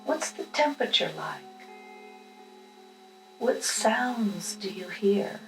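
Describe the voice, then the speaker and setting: A woman leads a guided meditation in a calm, instructional way. Her delivery is markedly slow, with plenty of time given to each short phrase. The sound is noticeably roomy and echoing, with music underneath. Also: medium pitch. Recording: roomy echo with music behind.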